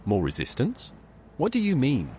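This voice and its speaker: A man's voice: mid-range voice, wildly swooping pitch, moderate pace, a little mellow, slight background noise. A man voicing a direct, inquiring adventurer hero in scripted video game dialogue. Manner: direct, questioning.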